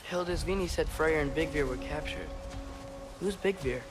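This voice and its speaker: A man's voice: fairly high pitch, moderate pace, natural voice. A man playing an earnest, curious teenage archer in a video game, with a casual, sincere manner. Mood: curious, pensive. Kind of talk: video game cinematic acting.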